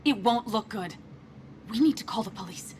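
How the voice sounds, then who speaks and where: An actress playing an anxious, principled and outspoken friend in a video game, speaking in an earnest, emotional way. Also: female voice, a little high, animated, moderate pace, darkish tone, slight background noise, natural voice. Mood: reproachful, urgent. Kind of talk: naturalistic video game acting.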